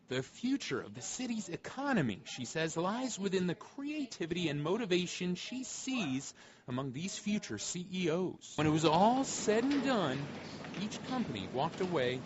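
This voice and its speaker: A man narrating a scripted television news report as a reporter. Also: a little high. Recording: dull audio, clear crowd sound.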